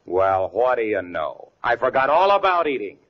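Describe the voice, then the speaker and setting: A man's voice: medium pitch, big pitch swings, normal speed, close to an everyday voice. An actor playing a cheerful, absent-minded man in a 1940s radio comedy. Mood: sheepish. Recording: muffled old radio recording, audience.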